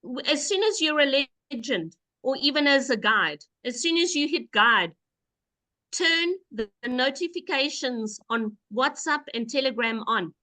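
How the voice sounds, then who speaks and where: This woman has a high, bright voice and leads a group call. She explains patiently and leans on emphatic repetition to drive her points home. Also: lively intonation, unhurried, rough recording. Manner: emphatic, repeats key points. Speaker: call host. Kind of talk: call presentation, explaining step by step.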